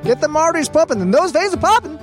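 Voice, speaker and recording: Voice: high voice, sing-song, quick pace. A performer voicing a folksy, boastful hog farm boss in a Southern American drawl for a parody radio commercial. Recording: country music behind.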